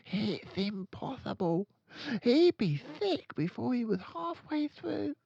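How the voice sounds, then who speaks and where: A man voicing a watchful young schoolgirl in a storybook, in a secretive hush. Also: extremely high pitch, sing-song, takes it slowly, gravelly, slightly rough, no room sound, lo-fi sound, pitched above the performer's own voice, full character voice. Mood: hushed, fascinated. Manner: hushed whispering.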